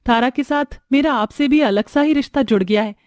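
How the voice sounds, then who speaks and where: A woman's voice: high voice, fairly quick, darkish tone, no echo, clean studio sound, natural voice. Modest, sweet young woman, played by a female narrator.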